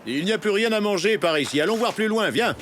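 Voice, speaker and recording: A man's voice: sits high, lively intonation, fast, music in the background. A man voicing a protective father moose in a French cartoon, speaking in a firm, paternal way. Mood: firm, encouraging.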